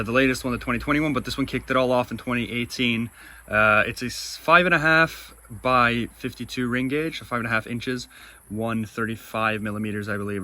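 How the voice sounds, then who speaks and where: A man's voice: medium pitch, sharp tone. A young man co-hosting a cigar review show, chatting casually and talking through flavor notes with enthusiasm.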